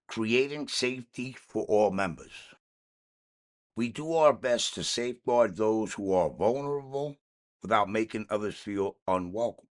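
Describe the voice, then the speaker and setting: A man reading a daily recovery meditation aloud in a measured, slightly halting way. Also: lowish voice, expressive. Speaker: podcast reader.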